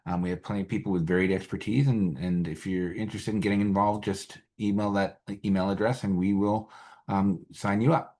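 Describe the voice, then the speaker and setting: A man's voice: on the low side, little pitch movement, fairly quick, a little mellow. A man speaking informally as a public health activist, urging people to get involved. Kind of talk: informal rally speech.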